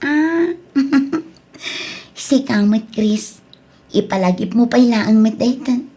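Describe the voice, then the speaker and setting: A young Filipino woman, remorseful but firm. Her voice sits fairly high with a husky edge, and she speaks with earnest, open emotion. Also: slow pace, mellow. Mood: gentle, sincere. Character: young student daughter, remorseful, firm about breaking up. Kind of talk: Ilocano radio drama acting.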